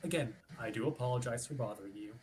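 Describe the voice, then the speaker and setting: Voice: medium pitch, fast, heavy background noise, lightly acted. An actor playing an officious, politely pushy ship's watch officer in a formal, wordy script reading. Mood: smooth, threatening. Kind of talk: theatrical script reading over a livestream. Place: remote online stream.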